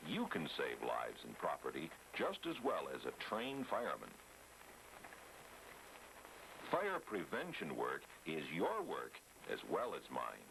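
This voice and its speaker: A man's voice: a bass voice, wildly swooping pitch, normal speed, gravelly, few pauses, heavily acted. A man narrating a 1960s educational film as an earnest American fireman, instructing and questioning young viewers on a noisy vintage soundtrack. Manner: instructive, addressing children with questions. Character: earnest American fireman explaining fire safety.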